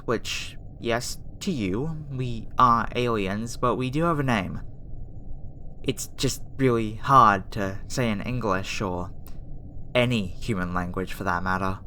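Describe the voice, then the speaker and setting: A woman voicing a gentle, flustered young female alien scientist in an ASMR roleplay, speaking soothingly and hesitantly with stammers. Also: extremely low pitch, slow pace, heavily acted. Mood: awkward, sheepish. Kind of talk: ASMR roleplay audio acting. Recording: faint background noise under the voice.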